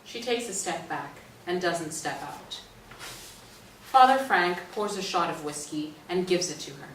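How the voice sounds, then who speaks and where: A woman's voice: fairly low pitch, unhurried. A woman narrating scripted stage directions at a play reading. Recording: roomy, distant mic, faint noise.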